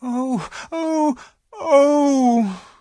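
A man voicing a frightened, tearful young girl with a high, breathy voice. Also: big pitch swings, unhurried, darkish tone, dry recording, clean studio sound, exaggerated voice. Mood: sobbing.

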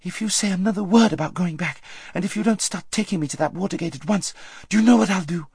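A man's voice: high voice, quick pace, pushed and bright, slightly rough, dry recording, clean studio sound, voice pushed up, heavily acted. A man voicing a determined, impatient young noble girl in an audiobook, firm and commanding. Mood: stern, exasperated. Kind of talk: audiobook character acting.

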